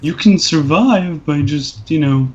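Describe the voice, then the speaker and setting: A man's voice: fairly high pitch, unhurried, highs cut off. A man trading casual banter as a player in a tabletop roleplaying game.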